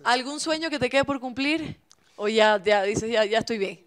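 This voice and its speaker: A woman's voice: fairly high pitch, moderate pace. A middle-aged woman hosting an interview, asking short questions and giving brief affirmations. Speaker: interview host. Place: small decorated studio set. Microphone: stand mic.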